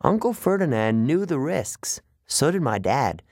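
A man voicing an earnest, idealistic young male satyr who sounds wistful and quick to take offense. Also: mid-range voice, big pitch swings, a little mellow, no echo, clean studio sound, voice pushed up. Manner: wistful, indignant about pollution.

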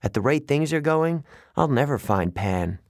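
A man voicing an earnest, idealistic young male satyr who sounds wistful and quick to take offense. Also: a little high, animated, dry recording, studio quality, pitched above the performer's own voice. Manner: wistful, indignant about pollution.

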